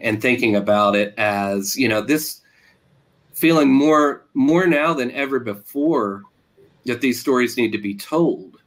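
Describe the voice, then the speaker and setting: An American man from Oklahoma answering interview questions as a writer, thoughtfully and haltingly, searching for words and repeating phrases. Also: medium pitch. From Oklahoma, USA. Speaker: interviewed novelist.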